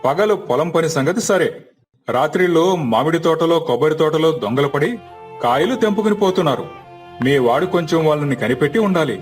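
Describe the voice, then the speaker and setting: నటుడు పోషించిన సూటిగా మాట్లాడే ఊరి భూస్వామి, కాస్త హెచ్చు గొంతు. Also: male voice, on the high side, animated, a little fast, soft background music, lightly acted. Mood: businesslike. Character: businesslike village landlord hiring a farm watchman. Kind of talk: dramatized moral story.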